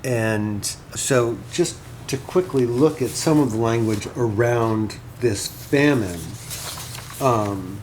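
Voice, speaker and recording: An actor playing an earnest academic lecturer on ancient literature, speaking informally in a halting, thoughtful way. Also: male voice, medium pitch, a little mellow, a little hiss or hum, lightly acted. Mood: musing, earnest. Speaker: lecturer and podcast host.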